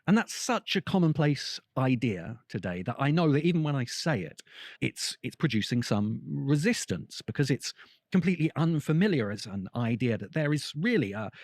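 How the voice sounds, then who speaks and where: A man delivering an essayistic podcast monologue, measured, articulate and emphatic, as a host and critic. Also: medium pitch, darkish tone, no echo, clean studio sound.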